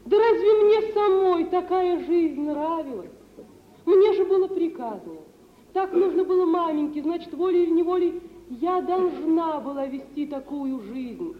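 An actress playing a proud, sensitive young woman in a stage drama, with impassioned theatrical delivery and weighty pauses, in mid-century radio theatre style. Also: extremely high pitch, animated, reverberant. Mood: bitter. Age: young adult. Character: proud, sensitive young dowerless bride, yearning and wounded. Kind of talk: 1950s Russian radio theatre acting. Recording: muffled, hissy mid-century radio recording.

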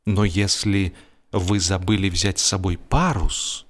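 A man narrating a humorous literary story in a theatrical, expressive manner, with emphatic swells and deliberate pauses. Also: low-pitched. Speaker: audiobook narrator. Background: music.

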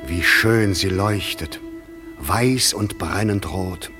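An actor playing the proud, commanding fairy king in a classic verse radio play, with a measured, stately delivery. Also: low voice, music in the background.

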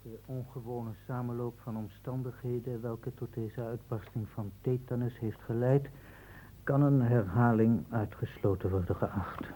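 An actor playing a formal, deliberate official reading out a report in a Dutch radio play. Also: male voice, mid-range voice, fairly even intonation, dark tone, close to an everyday voice. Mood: formal, measured. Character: formal, measured male official dictating a report. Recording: faintly noisy old radio recording.

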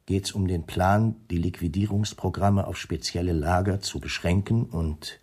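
An actor playing a cautious, guarded wartime SS official with a husky voice and measured, hesitant delivery in a German radio play. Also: male voice, sits low, steady tone, darkish tone. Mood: confidential, careful.

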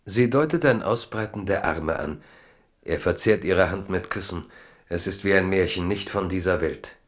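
A man narrating a 1970s German radio drama in a measured, dramatic, literary storytelling style. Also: low voice.

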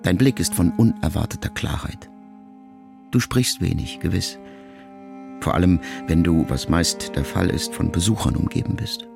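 A man reading a literary audiobook in a measured, reflective, intimate first-person tone, music clearly heard beneath. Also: low-pitched, lively intonation. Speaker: audiobook narrator. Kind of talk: scripted literary narration.

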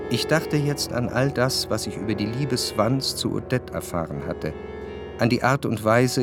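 An actor playing a reflective, jealous gentleman who narrates a literary radio drama in measured, introspective phrases. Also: on the low side, creaky, no echo, exaggerated voice. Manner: measured, introspective, long formal sentences.

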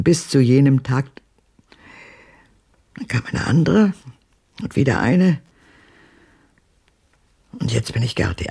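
A woman in her eighties, weary and guarded, in a radio drama. Her voice is husky and cracked with fry, swinging widely in pitch and often sinking to a breath as she broods over her past. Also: full character voice. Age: 80s. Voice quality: husky, breathy, dropping to whispers. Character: world-weary, evasive eighty-year-old woman recalling her past.

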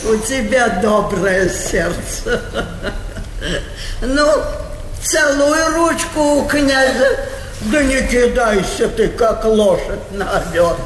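A woman's voice: a little high, lively intonation, slow, a little hiss or hum. An older woman playing a haughty, capricious old princess on stage, scolding and commanding in a classical theatrical manner. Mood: gracious. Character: imperious old aristocratic princess, capricious, doting on her pet. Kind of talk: classical Russian stage acting. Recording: echoey theatre hall recording, faint music.

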